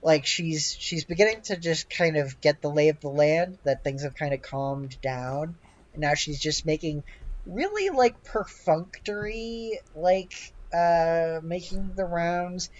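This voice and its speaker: A game master narrates a tabletop session in a medium-pitched voice. The delivery is halting and improvised, full of hesitant pauses and filler. The sound is dull and lo-fi. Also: lively intonation. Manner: halting, hesitant, heavy use of "like".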